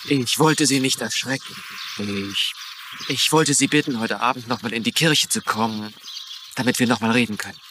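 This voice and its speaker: Ein Schauspieler spielt in einem synchronisierten TV-Drama einen aufrichtigen, etwas unbeholfenen Priester, der zögernd und ernst spricht. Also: male voice, medium pitch, moderate pace, noisy background, natural voice. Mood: earnest, pleading. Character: earnest, awkward substitute priest, gently urgent. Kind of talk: dubbed TV drama dialogue in German.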